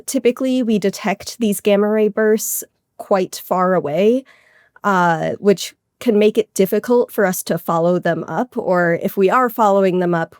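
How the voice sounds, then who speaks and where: A woman's voice: mid-range voice, darkish tone. Woman scientist on an interview, explains stuff casually n keenly, really dry audio no echo. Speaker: guest scientist, astrophysics researcher.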